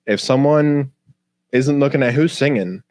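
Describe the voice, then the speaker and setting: A man's voice: mid-range voice, measured pace, a little mellow, dry recording. A man co-hosting a podcast, asking rambling, informal questions in casual conversation. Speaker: podcast co-host interviewing. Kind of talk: casual chat.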